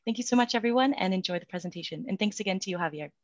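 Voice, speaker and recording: Young woman host, fast upbeat scripted intro, dull dry video call audio. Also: medium pitch, animated, no room sound. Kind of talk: event introduction. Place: room at home. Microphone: headset on a video call.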